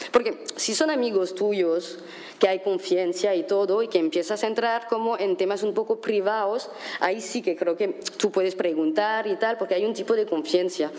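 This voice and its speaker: A woman's voice: medium pitch, normal speed, a little mellow. A woman who grew up in France, speaking at a conference panel in a frank, conversational way full of filler phrases. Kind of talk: candid panel talk.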